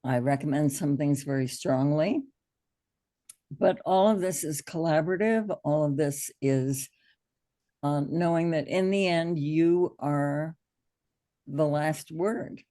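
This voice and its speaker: A middle-aged American woman with a gravelly, hoarse allergy voice, informally teaching an online hypnosis class in an encouraging, reassuring way. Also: deep voice, slow pace, dark tone.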